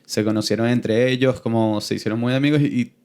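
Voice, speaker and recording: A man from Venezuela hosting a podcast, calm and conversational, often answering with short agreement. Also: mid-range voice, fairly quick, smooth. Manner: calm, frequent agreeing replies. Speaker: podcast host.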